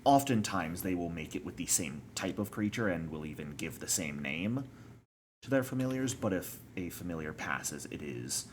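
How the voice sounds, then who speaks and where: A man voicing a matter-of-fact tent keeper who keeps familiars, talking in a brisk, unbothered way. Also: sits low, animated, slight background noise.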